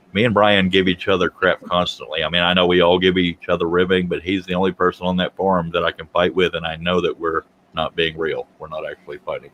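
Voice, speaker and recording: Man, 50s, low-pitched, self-deprecating livestream host; faint background hum. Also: a bit bright, poor audio. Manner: joking, self-deprecating, rambling. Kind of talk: casual chat.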